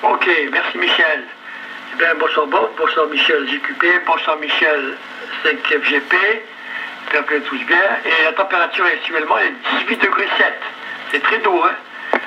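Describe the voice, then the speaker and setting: A man's voice: on the high side, normal speed, bright, forceful tone, dull audio. An older man chatting casually as an amateur radio operator over a two-way link. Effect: two-way radio transmission. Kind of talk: casual ham radio chat. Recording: very noisy VHF radio relay.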